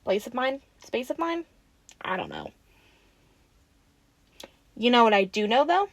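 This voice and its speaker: A woman acting in an audio roleplay as a friendly, excitable young woman who rambles casually and gets playfully defensive. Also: on the high side, unhurried, creaky, no echo, heavily acted. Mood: teasing, friendly.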